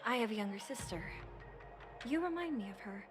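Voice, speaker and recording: A woman voicing a reserved young female judge who speaks calmly and evenly. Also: fairly high pitch, natural voice. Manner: calm, measured, reserved. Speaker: game character. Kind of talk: video game voice acting. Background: game music.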